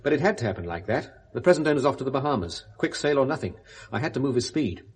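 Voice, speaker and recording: A middle-aged man with a British accent acting an urbane, persuasive businessman in a 1970s radio drama, speaking briskly and frankly. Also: mid-range voice, expressive, breathy edge. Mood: persuasive, pressing. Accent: British English.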